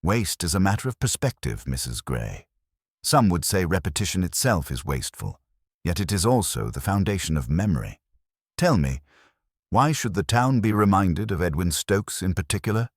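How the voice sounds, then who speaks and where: A man voicing a mild, shrewd police inspector in a mystery story, gentle, polite and quietly probing. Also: sits low, sing-song, normal speed, slightly rough, no echo, studio quality, pitched above the performer's own voice, full character voice.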